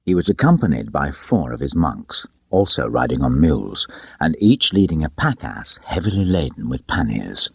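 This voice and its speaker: Low, husky male storyteller, very animated. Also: moderate pace, no echo. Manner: expressive, theatrical storytelling. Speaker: audiobook narrator. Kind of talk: dramatic storybook narration. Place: dry studio. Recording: dull, lo-fi tape audiobook.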